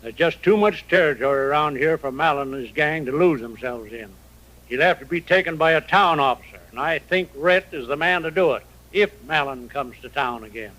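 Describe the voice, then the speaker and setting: An actor playing a middle-aged, reform-minded western town mayor, speaking with formal authority in an old radio drama. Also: male voice, on the high side, a little fast, fairly bright tone, low-quality recording. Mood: conversational, resolute. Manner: authoritative, formal meeting chairman. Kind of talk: 1950s radio drama acting.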